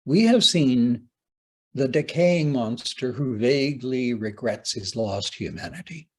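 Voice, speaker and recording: A man giving a prepared talk as a playwright, with wry, opinionated asides and deliberate, dramatic pauses for emphasis. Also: medium pitch, expressive, a little mellow, husky. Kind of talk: prepared conference lecture with personal asides.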